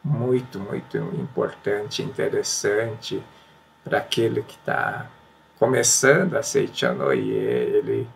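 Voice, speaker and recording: A man with a gravelly, creaky voice talks about spiritual books in an earnest, reflective manner, slowing down on the phrases that matter to him. Soft room ambience hums faintly behind him. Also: fairly low pitch, very animated, a little hiss or hum. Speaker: religious book presenter. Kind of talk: casual spoken book commentary and reading aloud.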